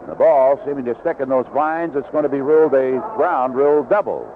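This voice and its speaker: A man's voice: mid-range voice, a crowd in the background. Man calling baseball on tinny vintage radio. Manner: unhurried description between plays, rising excitedly on action. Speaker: baseball play-by-play announcer. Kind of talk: live 1970s radio sports commentary. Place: outdoor ballpark broadcast booth.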